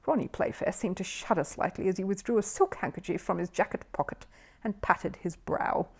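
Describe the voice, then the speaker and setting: A woman storyteller narrates a children's book expressively. Her voice is medium in pitch and moves with lively, varied intonation. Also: brisk, slightly rough.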